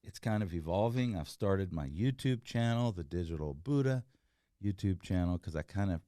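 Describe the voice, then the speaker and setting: Middle-aged man, very deep voice, dry sound, earnest rambling guest. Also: lively intonation, moderate pace, mellow, dry recording. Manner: earnest, rambling, many fillers and restarts. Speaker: guest, entrepreneur. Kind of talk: casual interview chat.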